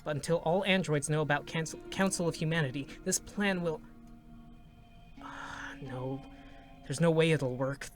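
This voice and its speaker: A man's voice: on the high side, normal speed, soft background music, natural voice. An actor playing an anxious, secretive male scientist with a hesitant, stammering delivery in a dramatic game story reading. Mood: troubled planning.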